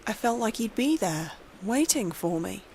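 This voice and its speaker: A woman's voice: mid-range voice, expressive, moderate pace, darkish tone, slight background noise. A woman reading a scripted horror story aloud as its narrator.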